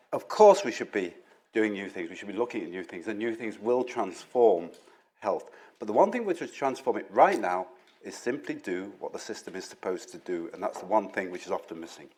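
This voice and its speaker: A man speaking on a conference panel about health research, emphatic and building his points through repeated phrases. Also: fairly low pitch.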